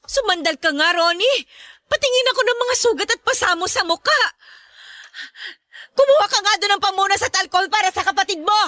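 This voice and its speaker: A woman's voice: very high voice, expressive, brisk, bright, forceful tone, no room sound, clean studio sound, exaggerated voice. A woman playing a nagging, exasperated mother in a Tagalog radio drama, scolding emphatically. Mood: alarmed, bossy. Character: nagging, exasperated Filipino mother of grown sons.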